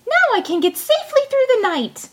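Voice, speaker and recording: A tiny, bold little boy with a squeaky, shrill voice that leaps far above ordinary speech, as a woman reading a storybook puts him on. He chirps and calls out with lively, swooping cheek. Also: moderate pace. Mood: cheeky.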